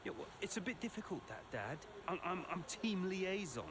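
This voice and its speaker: A man's voice: high voice, sing-song, normal speed. A man playing the flippant, resentful young son of a racing boss in a game cutscene, sarcastic and mock-breezy. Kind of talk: cinematic game cutscene acting. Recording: loud ambient vehicle noise behind.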